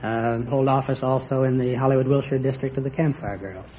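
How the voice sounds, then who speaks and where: A man's voice: medium pitch, steady tone, brisk, darkish tone. A man speaking earnestly and formally as a guest from the audience on an old radio quiz show. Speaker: audience member brought up as guest. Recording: muffled old radio recording, audience noise.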